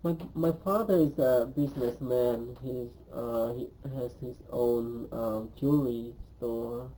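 Guy in his 20s, talks real slow and hesitant, lots of ums, soft dark voice. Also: medium pitch, slight background noise, rough recording. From Cambodia. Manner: hesitant, pauses often with um. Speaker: interviewee. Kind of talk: oral history interview.

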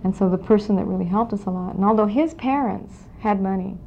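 A woman in her forties from Los Angeles, recalling her family history in an oral history interview, speaking in a reflective, halting way with many pauses. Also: medium pitch, vocal fry. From Los Angeles, California. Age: 40s. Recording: dull lo-fi 1992 tape with faint hiss.

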